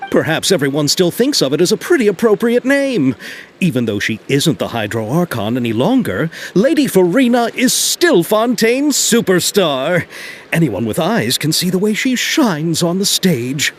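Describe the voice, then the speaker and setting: Game filmmaker role: highish, very animated delivery, earnest, bookish, polite and easily flustered. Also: male voice, normal speed, slight background noise. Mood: enthusiastic. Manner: earnest, wordy explainer, very expressive. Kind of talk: video game cutscene acting.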